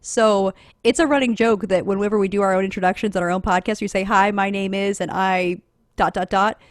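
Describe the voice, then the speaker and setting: A woman's voice: mid-range voice, steady tone, a little fast, no room sound, clean studio sound. A woman podcaster on a live panel, explaining things conversationally as she thinks aloud.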